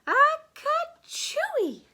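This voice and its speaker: A woman voicing an excitable little kitten boy who thinks he's a chihuahua, bouncy and sing-song, in a storybook reading. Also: sits high, normal speed, pitched above the performer's own voice. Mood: gleeful.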